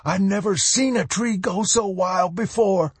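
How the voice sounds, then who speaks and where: A rustic old man for a storybook, folksy and full of wonder. He draws his words out slowly in a husky voice, emotional and excitable. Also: high voice, no echo, voice pushed up, exaggerated voice. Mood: overjoyed. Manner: folksy, exclamatory, drawn-out.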